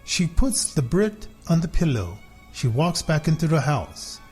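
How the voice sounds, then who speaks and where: A man voicing a smug, possessive pet cat in a children's story, telling it deliberately with pauses. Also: fairly high pitch, big pitch swings, darkish tone, husky, soft background music, full character voice. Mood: smug, unhurried.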